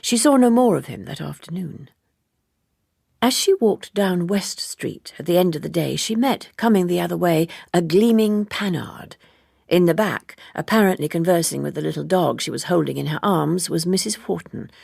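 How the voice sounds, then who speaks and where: A woman narrates a literary audiobook with measured precision. Her voice is low-set and heavily creaky, with a husky grain, recorded very dry. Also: darkish tone, dry recording.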